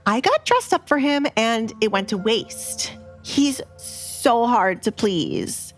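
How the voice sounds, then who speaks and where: A woman's voice: fairly high pitch, very animated, slow pace, faint music behind, pitched above the performer's own voice, full character voice. A woman voicing a whiny, pleading, spoiled young noble daughter in an audiobook drama. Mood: whiny, grumbling.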